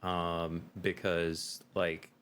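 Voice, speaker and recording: Male podcast guest, very low register, reflective and halting, with some faint background noise. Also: flat delivery, a bit bright. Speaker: podcast guest, actual-play game master. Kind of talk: casual interview conversation.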